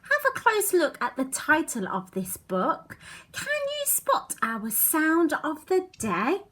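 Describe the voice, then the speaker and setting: High, animated woman reading a children's story. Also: slow pace. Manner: expressive, addressing young children with questions. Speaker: storytime reader.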